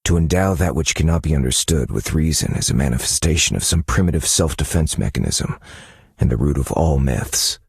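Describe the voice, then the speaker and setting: An actor playing a brooding, analytical detective-novelist in a game, with a gravelly, husky voice, musing to himself in a measured, contemplative way. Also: male voice, a bass voice, expressive, no room sound, studio quality, heavily acted. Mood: grave, reflective. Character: brooding, analytical male detective-novelist unraveling dark mysteries. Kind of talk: scripted game character monologue.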